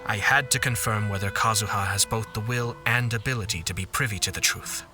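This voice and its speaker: An actor playing a calm, calculating young noble clan lord in a video game, speaking in a measured, formal way. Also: male voice, lowish voice, lightly acted. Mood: composed, explaining. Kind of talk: scripted video game dialogue. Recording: music playing behind the voice.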